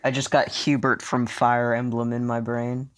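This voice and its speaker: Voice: mid-range voice, flat delivery, moderate pace. A player in a tabletop roleplaying podcast, talking casually and informally with some swearing. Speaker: player in an actual-play podcast.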